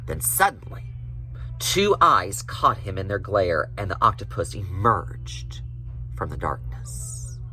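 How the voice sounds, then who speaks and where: A man telling a children's story in an expressive storybook reading style. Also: sits low, slow, faint music behind, low-quality recording.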